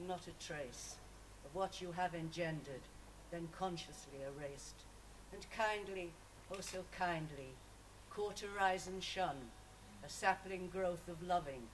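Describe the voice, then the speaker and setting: A woman reciting her own poems to an audience at a live reading. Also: lowish voice. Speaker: poet. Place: live festival reading, picked up from a distance. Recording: noisy live recording, distant mic.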